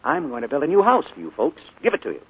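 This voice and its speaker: A man's voice: on the high side, huge pitch swings, very fast, breathy edge, heavily acted. A man playing a charming but sinister middle-aged uncle in a 1940s radio drama, his coaxing voice carrying a menacing undertone. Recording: narrow 1940s radio broadcast.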